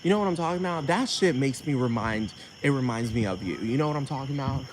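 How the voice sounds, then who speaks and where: A man's voice: mid-range voice, expressive, quick pace. A man rants in a rambling, profane vlog and keeps asking whether the listener understands him. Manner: repetitive, keeps asking "you know what I'm talking about". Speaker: vlogger. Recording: noisy with crickets behind.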